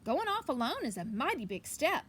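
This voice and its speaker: A woman's voice: high voice, sing-song, moderate pace, a bit bright. A woman voicing a loving, cautious grandmother in a storybook, giving firm, folksy advice.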